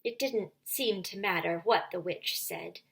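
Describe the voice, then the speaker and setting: A woman reading a children's story aloud as storyteller, with expressive narration and deliberate, dramatic phrasing. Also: fairly low pitch, vocal fry.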